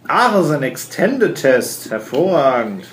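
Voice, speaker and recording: A man's voice: on the high side, sing-song, normal speed. A man narrating and refereeing a tabletop role-playing game as game master. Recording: roomy echo, clear background noise.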